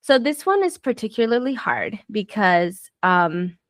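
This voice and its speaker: A woman's voice: medium pitch, very animated, measured pace, dry recording, studio quality. A woman raised in Southern Florida whose first language is Spanish, talking reflectively in a casual conversation, with many fillers and restarts and bursts of exasperation. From United States, raised in Southern Florida.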